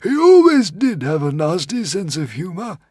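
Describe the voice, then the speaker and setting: Squeaky, nervous little sea dragon. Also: male voice, huge pitch swings, normal speed, warm tone, no room sound, clean studio sound, exaggerated voice. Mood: flustered. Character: small nervous ancient male sea dragon.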